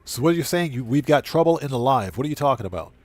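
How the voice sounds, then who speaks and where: A man's voice: medium pitch, animated, racing, no echo. A man hosting a casual gaming livestream, thinking aloud, repeating phrases and chatting with viewers. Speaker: livestream host. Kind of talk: casual gaming livestream commentary, greeting chat. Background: music.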